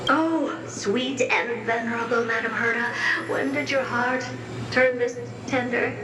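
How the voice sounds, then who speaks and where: A woman voicing an impish, deferential female attendant in a video game, with a drawn-out, reverent manner. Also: on the high side, steady tone, over music, close to an everyday voice. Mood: teasing. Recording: echoey, distant, loud background noise.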